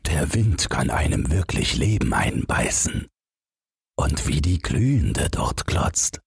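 Man as a slow, husky, naive snowman. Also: extremely low pitch, very animated, no room sound, studio quality, exaggerated voice. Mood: proud, defiant. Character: naive newborn snowman, proud, puzzled and stubborn.

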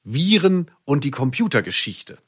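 A man's voice: mid-range voice, big pitch swings, normal speed, a little hiss or hum. A man hosting a podcast in casual, chatty style, rambling and correcting himself as he goes. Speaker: podcast host.

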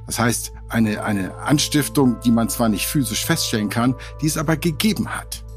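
A man's voice: medium pitch, moderate pace, soft background music. A man hosting a true-crime podcast, talking through a court case in a conversational, slightly wry way. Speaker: podcast host.

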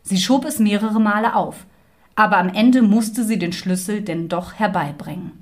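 A woman's voice: medium pitch, moderate pace. A woman storyteller reading a scripted fairy tale narration. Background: music.

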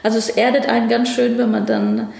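Woman guest in a ministry office, gravelly mellow voice, lots of ums, roomy echo. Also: a little high, sing-song, brisk. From Afghanistan. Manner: thoughtful, many hesitations. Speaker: interview guest, ministry division head. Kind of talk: conversational interview.

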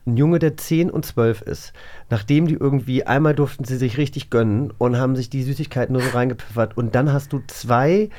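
A man telling work anecdotes in an informal podcast chat, often pausing and acting out short quotes. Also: mid-range voice, darkish tone. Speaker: host, actor. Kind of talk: casual chat, personal anecdotes.

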